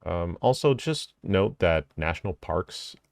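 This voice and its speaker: A man with a very low, gravelly voice walks through rules in a relaxed explainer. He thinks aloud, hedging and correcting himself as he goes. The recording is close and dry, with no room sound. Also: a little slow, no echo. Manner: thinking aloud, many ums and self-corrections. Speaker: drone hobbyist explaining rules. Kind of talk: casual explainer video.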